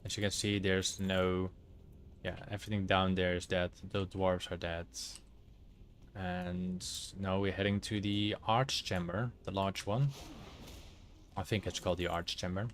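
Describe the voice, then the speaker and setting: Dutch guy streaming a game, deep voice, lots of uh's, bit of hiss. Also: little pitch movement. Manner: halting, many fillers, searches for words. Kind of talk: casual gameplay commentary.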